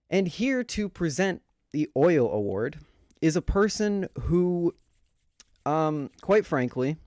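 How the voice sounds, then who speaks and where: A man with a slightly high-set voice hosts a livestreamed awards show. He addresses chat with theatrical, jokey flair and mock exasperation. The sound is close and dry, with little room in it. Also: a little mellow, dry recording.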